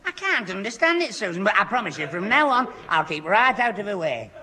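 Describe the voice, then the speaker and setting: A man's voice: high voice, very animated, fairly quick, bright, forceful tone, heavily acted. A man playing a hapless, flustered young Northern English lad, whining and stammering in a 1960s radio sitcom. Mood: pleading. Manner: whiny, stammering, indignant. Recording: noisy old radio recording, audience behind.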